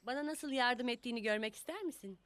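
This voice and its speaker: A woman playing a kind, patient grown-up in a preschool cartoon dub, explaining things simply and gently to a child. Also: fairly high pitch, fast, natural voice. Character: kind, patient blind woman with guide dog. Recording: music under the dialogue.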